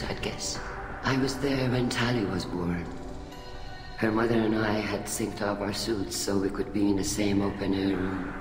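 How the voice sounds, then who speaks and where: An actor playing a weary, fatherly older alien admiral in a video game, speaking in a measured, formal way. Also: lowish voice. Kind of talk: video game dialogue acting. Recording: echoey hall reverb, music behind.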